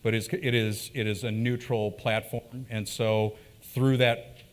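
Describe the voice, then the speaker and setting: A man with a fairly low voice co-presenting at a conference. He talks animatedly to the crowd, often restarting and repeating words mid-thought. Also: level tone, measured pace. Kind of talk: conference talk answering audience questions.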